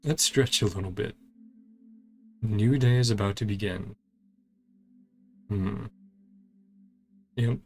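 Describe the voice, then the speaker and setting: A man with a low voice and a gravelly, fry-heavy edge hosts a casual game playthrough. He talks in short, clipped fragments, murmuring reactions as he goes. Faint music plays behind him. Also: slow, pauses often, a little mellow. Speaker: gaming channel host. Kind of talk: casual game playthrough commentary, reading dialogue along.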